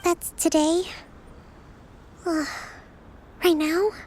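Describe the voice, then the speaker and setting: A woman playing a gentle, dutiful young island leader in a game, speaking in a polite, measured, formal way. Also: extremely high pitch, little pitch movement, a little hiss or hum, full character voice, rough recording. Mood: tired, reluctant.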